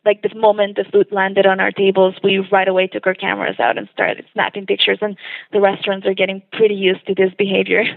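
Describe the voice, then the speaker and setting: A woman's voice: mid-range voice, monotone, quick pace, gravelly, no echo. A woman from Colombia, a food blogger talking enthusiastically as a guest in a phone interview, often laughing. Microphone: phone line. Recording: tinny dry phone line.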